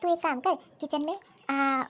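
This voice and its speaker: High-pitched older mother-in-law acted by a woman, fond, caring, gently chiding, cartoon family drama. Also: fairly even intonation, unhurried. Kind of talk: animated Hindi family drama dialogue.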